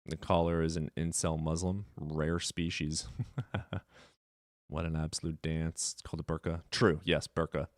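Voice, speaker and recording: A man's voice: extremely low pitch, little pitch movement, a little slow, dry recording, clean studio sound. A man hosting a live call-in debate stream, combative, sarcastic and profane.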